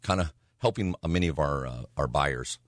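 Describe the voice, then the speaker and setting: A man with a hoarse, raspy voice, talking casually as a real estate agent on a radio show, rambling with lots of fillers. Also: very deep voice, moderate pace, no echo, clean studio sound. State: hoarse from yelling.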